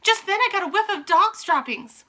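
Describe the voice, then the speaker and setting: A tall witch, high-pitched and urgent, shouting her alarm in quick bursts, as a woman reading a storybook puts her on. The voice is bright and sharp. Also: lively intonation, heavily acted. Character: tall female witch sniffing anxiously.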